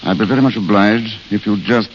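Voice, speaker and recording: A man's voice: fairly low pitch, a little fast, close to an everyday voice. A man acting a fatalistic romantic idealist in an old radio drama, courteous and literary in his speech. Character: world-weary romantic idealist, eloquent and fatalistic. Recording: hissy, dull old radio recording.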